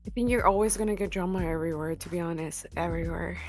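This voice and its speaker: A woman's voice: fairly low pitch, fast, soft background music. A woman with a Mexican Spanish accent, a native Spanish speaker raised in El Paso, telling stories candidly as a guest in a casual podcast chat over a video call from her room at home. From El Paso, Texas. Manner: lively, candid storytelling with laughs. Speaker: guest, cosplayer and model.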